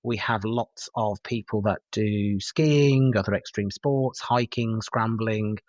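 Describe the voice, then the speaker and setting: A middle-aged paramedic lecturer with a West Midlands accent, answering interview questions hesitantly with many fillers and restarts. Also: male voice, on the low side, takes it slowly, a little mellow, dry recording, lo-fi sound. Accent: West Midlands English. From West Midlands, England.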